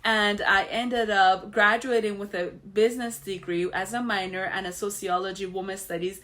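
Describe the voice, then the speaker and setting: A woman's voice: medium pitch, steady tone, moderate pace, a bit bright. Woman host, 30s, Iranian accent, candid personal monologue. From Iran. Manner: candid, with frequent fillers and restarts.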